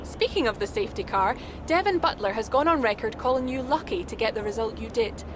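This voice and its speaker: Female sports reporter, actress, polished and probing, high voice, game interview scene, noisy outdoors. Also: animated, brisk, close to an everyday voice. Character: brisk, probing female TV pit-lane reporter. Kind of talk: interview in video game cutscene.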